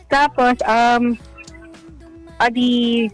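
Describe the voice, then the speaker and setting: A woman's voice: a little high, level tone, extremely slow, soft background music. Filipino woman caller, hesitant chatty storyteller. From Philippines. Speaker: caller telling a personal story.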